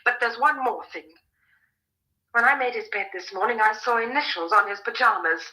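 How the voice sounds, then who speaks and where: An actress playing a sensible, anxious young British farmer's daughter in a radio drama, brisk and earnest. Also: female voice, on the high side, pushed and bright, poor audio. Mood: urgent, suspicious.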